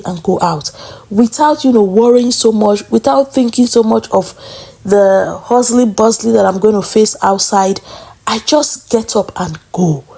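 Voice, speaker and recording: Young woman vlog host from nigeria, chatty, mellow warm tone. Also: a little high, slightly rough. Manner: chatty, addresses viewers as guys. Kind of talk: casual commentary vlog.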